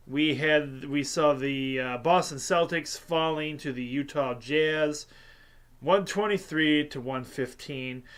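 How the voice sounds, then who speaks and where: Man, stuffy sinus voice, slow halting sports recap, fairly high pitch. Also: fairly bright tone, clear, resonant tone. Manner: halting, filler-heavy delivery with light jokes. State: congested, sinusy. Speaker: sports show host. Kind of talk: casual scores recap.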